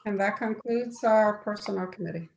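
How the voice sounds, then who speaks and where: A woman council member reading a formal committee report aloud, halting now and then to check and correct details. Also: mid-range voice, vocal fry, darkish tone, a little hiss or hum, rough recording. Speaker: city council member presenting committee appointments. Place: local government meeting.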